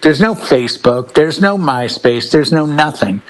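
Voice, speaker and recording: A man co-hosting a casual talk show, telling stories in an animated way and acting out bits of conversation. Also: fairly high pitch, a little slow. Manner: animated storytelling, acting out imagined phone calls. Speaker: podcast co-host, working DJ. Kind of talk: casual chat, storytelling.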